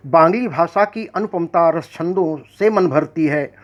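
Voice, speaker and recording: A man, a poet and editor, gives a formal self-introduction and then recites his own verse in a measured, declamatory way, repeating lines for effect. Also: fairly high pitch, highs cut off.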